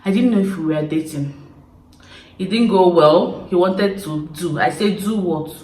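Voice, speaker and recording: A young woman from Nigeria with an Igbo accent, casually telling stories to camera in an expressive, chatty way. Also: fairly low pitch, a little slow, reverberant, rough recording. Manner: chatty, expressive, laughing storyteller. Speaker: YouTuber. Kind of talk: casual confessional chat to camera.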